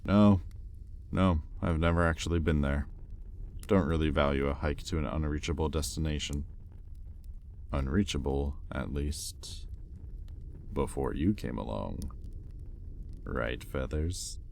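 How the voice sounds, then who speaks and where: Demon captor for audio roleplay, male actor: very deep pitch, sardonic and teasing, arrogant, curious, intimate delivery, slight background noise. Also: measured pace, vocal fry, long pauses, darkish tone, heavily acted. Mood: sardonic, defensive. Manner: sardonic, teasing, conversational with swearing.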